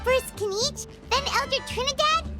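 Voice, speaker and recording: A woman voicing a small, childlike fairy companion in a video game, excitable, curious and exclamatory, with music behind. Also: very high voice, normal speed. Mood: hesitant, curious. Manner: refers to self in third person, exclamatory.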